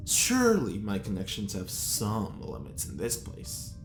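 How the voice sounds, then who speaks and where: A man's voice: lowish voice, wildly swooping pitch, a little slow, faint music behind, full character voice. A man playing a pompous, sly prisoner with refined tastes in an audio drama, theatrical and arch. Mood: sly, playful. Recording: roomy echo.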